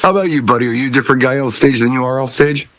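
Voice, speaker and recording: A man's voice: mid-range voice, very fast, fairly bright tone, dry recording. A man hosting a casual panel chat among DJs, posing questions to the group. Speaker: host of a panel show for DJs.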